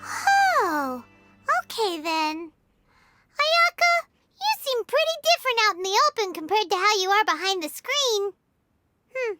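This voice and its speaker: A woman voicing a small, childlike, excitable fairy companion with a squeaky voice in a video game. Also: unhurried, faint music behind, full character voice. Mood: puzzled, indignant. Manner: refers to self in third person, exclaiming, expressive.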